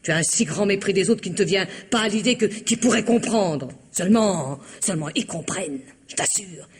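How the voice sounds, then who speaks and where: An actress playing Eva, a taunting, contemptuous wife in a vintage French radio drama, with a husky, mocking delivery. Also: female voice, medium pitch, brisk, natural voice. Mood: scornful.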